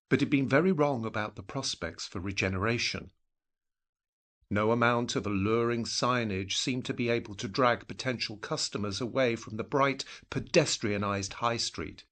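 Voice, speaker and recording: A man narrating a scripted literary story in a measured, wry storytelling manner. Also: lowish voice, fairly bright tone. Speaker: narrator of an audio drama.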